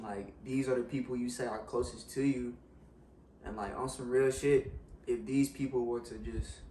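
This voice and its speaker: Young man, slightly raspy, roomy and distant. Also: medium pitch. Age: young adult. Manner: frank, hedging with "you know what I'm saying". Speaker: guest in a discussion. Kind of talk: casual reflective chat. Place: living room, on a couch. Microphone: far camera. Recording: roomy sound from far off, faint hiss.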